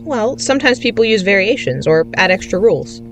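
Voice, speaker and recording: An actor playing an anxious, introspective young adult in an audio drama, with a mid-pitched voice. The delivery moves between lyrical narration and natural dialogue, with some background noise behind it. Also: sing-song, brisk, fairly bright tone. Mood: reserved.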